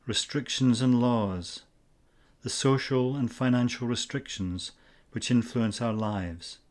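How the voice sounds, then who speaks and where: A man narrating a scripted guided meditation in a measured, instructional way with pauses between phrases. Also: mid-range voice, darkish tone.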